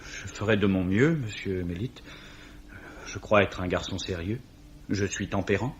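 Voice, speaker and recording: An actor playing a deferential young gentleman trainee, earnest and formal, in 1950s French radio drama style. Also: deep voice, unhurried, a little hiss or hum. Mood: eager, deferential. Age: young adult. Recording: dull old radio recording.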